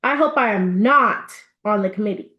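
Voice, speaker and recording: A woman's voice: medium pitch, normal speed, lo-fi sound, natural voice. A woman voicing a bookish young male castle historian in a storybook, chatty and emphatic. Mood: scoffing.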